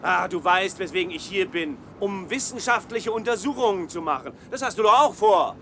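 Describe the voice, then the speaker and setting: An actor playing a naive, idealistic scientist in a German science-fiction radio play, questioning and earnest, with an unsettled manner. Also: male voice, high-pitched, expressive, moderate pace, sharp tone, slight background noise, full character voice. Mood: defensive. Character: naive, idealistic male scientist, troubled and well-meaning.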